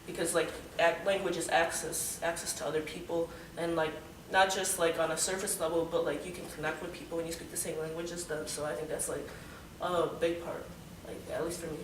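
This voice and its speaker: Male panelist, hesitant and thoughtful, higher voice, recorded far off in a roomy space. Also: flat delivery, fairly quick, fairly bright tone. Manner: reflective, hesitant, lots of fillers. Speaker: student panelist. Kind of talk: casual group discussion. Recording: echoey room, mic far off, background noise.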